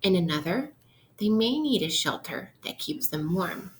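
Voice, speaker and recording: Female primary teacher, read-aloud lesson style, slightly low pitch, unhurried instructional delivery, slight background noise. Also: sing-song. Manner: instructional, posing questions to listeners. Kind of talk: interactive read-aloud lesson for young children.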